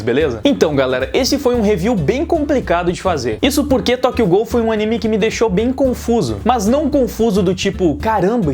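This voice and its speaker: A man's voice: high voice, darkish tone. Brazilian man reviewing casually over music. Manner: informal, conversational, slangy. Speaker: YouTube host and anime reviewer. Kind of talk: casual scripted video review.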